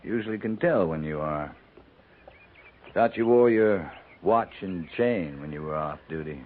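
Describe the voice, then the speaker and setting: An actor playing a wary, skeptical cavalry captain with a dry, clipped, sardonic delivery in a 1950s western radio drama. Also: male voice, low voice, lively intonation, unhurried. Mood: dry, knowing. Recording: tinny, noisy 1950s radio recording.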